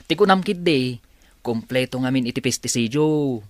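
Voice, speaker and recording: An actor playing a cheerful, polite young farm worker in an Ilocano radio drama, with an Ilocano accent. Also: male voice, mid-range voice, animated, moderate pace, dry recording, natural voice. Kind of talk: Filipino radio drama acting.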